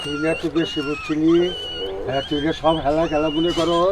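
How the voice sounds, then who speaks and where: A man's voice: on the high side, huge pitch swings, fast, background noise. A man playing a stern, gloating holy-man magician who scolds and commands in an amateur fairy-tale comedy skit. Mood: scolding.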